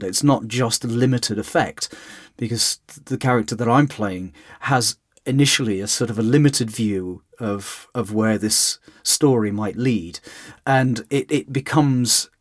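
A male actor thinks back on his role in a podcast conversation, measured and reflective. His voice is on the low side and recorded dry. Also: dry recording. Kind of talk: casual podcast chat.

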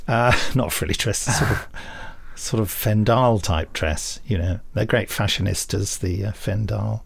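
Creaky-voiced man, rambling podcast host. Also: on the low side, unhurried. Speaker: podcast co-host, script editor and director. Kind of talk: casual news chat.

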